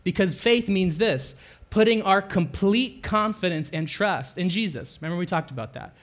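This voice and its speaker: A man's voice: sits high, animated, moderate pace. Chatty man preaching a casual sermon. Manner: conversational, self-correcting, asks the congregation questions. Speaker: pastor. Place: church sanctuary.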